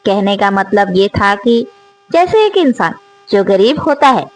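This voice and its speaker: Slow earnest woman, lively pitch. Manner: slow, earnest, questioning, rising on rhetorical questions. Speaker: storyteller and channel host. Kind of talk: reflective moral monologue.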